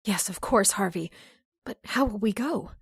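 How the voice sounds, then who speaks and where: A woman's voice: mid-range voice, expressive, moderate pace, creaky, husky, no room sound, clean studio sound, full character voice. A woman playing a frightened, distrustful heroine in an old-time radio horror drama, with anxious, dramatic delivery. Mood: hushed, fearful. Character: frightened, distrustful woman under threat in a thriller. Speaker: actress in an audio drama.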